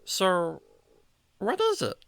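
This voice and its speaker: Excitable childlike animal sidekick, wildly animated. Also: female voice, mid-range voice, slow, vocal fry, smooth, dry recording, exaggerated voice. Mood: curious. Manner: wide-eyed, exclamatory, slangy. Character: excitable naive young talking animal sidekick, childlike and curious. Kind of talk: children's radio drama acting.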